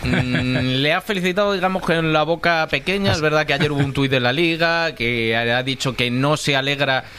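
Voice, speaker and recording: A man's voice: on the high side, brisk, bright, forceful tone, a little hiss or hum. Wry male sports reporter. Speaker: radio reporter. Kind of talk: sports news update.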